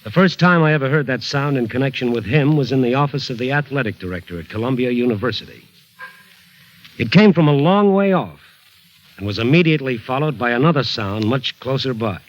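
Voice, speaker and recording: An actor playing a folksy sports reporter who narrates the story in a 1940s radio drama. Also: male voice, mid-range voice, animated, a little fast, muffled recording, lightly acted. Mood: storytelling.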